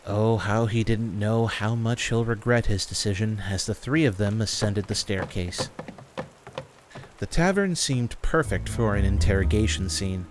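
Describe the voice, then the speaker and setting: A man's voice: lowish voice, a little slow, noisy background. A man reading scripted story narration as the narrator of a fantasy audio drama.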